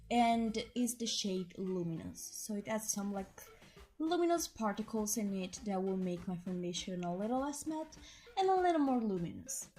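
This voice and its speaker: A young woman with a hoarse, strained voice talks through a makeup tutorial in a chatty, enthusiastic voiceover. Her pitch sits in a middle range, and soft music plays faintly behind her. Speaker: beauty vlogger. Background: soft background music.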